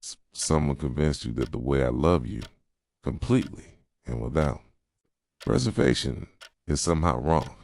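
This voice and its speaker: A man playing an obsessive, hurt, manipulative boyfriend in an intimate ASMR roleplay, speaking softly and insistently close to the microphone. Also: expressive, normal speed, no room sound, exaggerated voice. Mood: insistent, possessive. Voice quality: soft, low, breathy at times. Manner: intimate, insistent, pleading and accusing. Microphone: close microphone, dry sound.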